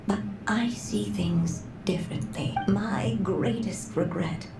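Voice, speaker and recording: A woman playing an aging writer in a video game, weary and wistful yet resolute, with a frail, breathy, contemplative delivery. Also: mid-range voice. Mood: wistful, reflective. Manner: slow, measured, contemplative. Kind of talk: dramatic video game voice acting. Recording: reverberant, with music underneath. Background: music.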